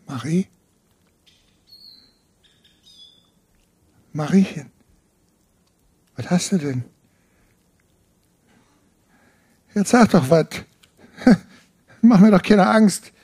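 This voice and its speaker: A man playing a deranged, violent husband who rants and shouts, then pleads in a broken voice. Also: extremely high pitch, exaggerated voice. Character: deranged, violent middle-aged husband hearing knocking.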